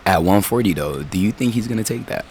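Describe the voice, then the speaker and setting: A man hosts a casual podcast chat, his very deep voice raspy. He talks in a loose, laid-back way, full of fillers. A faint hiss sits under the recording. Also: expressive, fast talker, darkish tone. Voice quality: raspy today. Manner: laid-back, lots of fillers and "you know". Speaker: podcast host.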